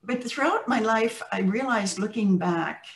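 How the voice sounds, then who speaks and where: An older woman with an American accent, talking in a measured, reflective way as an interview guest on a video call. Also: medium pitch. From United States. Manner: measured, thoughtful, with pauses and restarts. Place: home room with plants, indoors. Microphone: video call webcam. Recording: roomy video-call audio from a distant mic.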